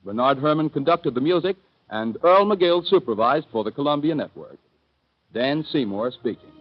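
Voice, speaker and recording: A man with an American accent announcing the credits for a 1930s radio broadcast in a crisp, formal delivery. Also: a little high, lively intonation, moderate pace, lo-fi sound.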